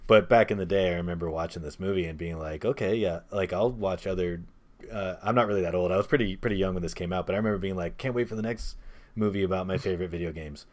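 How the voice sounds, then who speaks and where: A man's voice: low voice, fast. A man co-hosting a podcast, talking casually about movies in an informal, slangy way. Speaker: podcast co-host.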